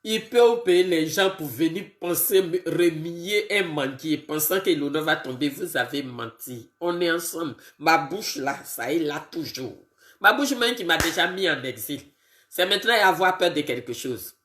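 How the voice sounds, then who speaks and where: A woman who has a bad cold, greeting her viewers one by one on a casual live video and talking in a halting way with many fillers. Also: low voice, expressive, a bit bright, echoey room. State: congested with a cold, sniffly and tired. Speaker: political live streamer.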